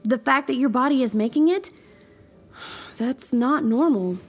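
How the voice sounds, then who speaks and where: A woman's voice: high voice, a little slow. A woman playing an anxious young scientist who explains things earnestly in a fan audio drama. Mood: worried.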